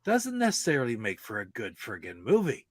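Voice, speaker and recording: A man's voice: medium pitch, huge pitch swings, brisk, dry recording, clean studio sound. A middle-aged man in a casual podcast panel chat about games and movies, talking in a rambling way.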